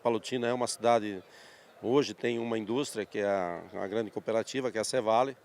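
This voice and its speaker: A man in his sixties from southern Brazil with a Paraná accent, a company manager telling his life story in a measured, thoughtful way during an outdoor interview at a farm show. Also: fairly low pitch, fairly even intonation, a little mellow. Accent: Paraná Brazilian Portuguese. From Santa Catarina, Brazil. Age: 60s. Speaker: guest, agricultural machinery dealer manager. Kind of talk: interview conversation.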